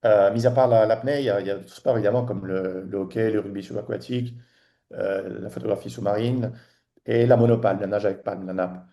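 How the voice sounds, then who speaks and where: Podcast guest, man in his forties from Tunisia; mellow, halting delivery full of fillers. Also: lowish voice, steady tone, gravelly. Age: 40s. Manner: halting, many restarts and fillers. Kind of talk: casual interview chat.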